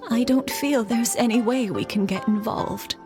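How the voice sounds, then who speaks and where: An actress playing an affectionate old wife in a game, speaking slowly and fondly with gentle teasing. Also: female voice, fairly high pitch, loud music behind the voice, lightly acted. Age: older. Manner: slow, fond, gently teasing.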